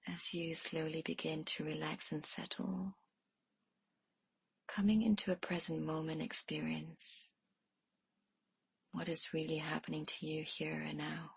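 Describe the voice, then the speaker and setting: A woman leading a guided meditation in a soft, hushed way, leaving pauses between phrases. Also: sits low, level tone, vocal fry, breathy edge, dry recording.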